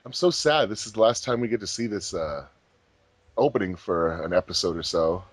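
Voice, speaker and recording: A man's voice: low voice, moderate pace. A man hosting a group commentary track in a casual, chatty style. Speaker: host of a fan dub commentary track.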